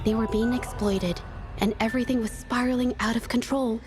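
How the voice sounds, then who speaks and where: An actress voicing a gentle, earnest young woman painter in a video game, speaking in a measured, reflective way. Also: a little high, fairly even intonation, close to an everyday voice. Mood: sorrowful, wistful. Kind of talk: video game voice acting, heartfelt storytelling. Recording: background music under the voice.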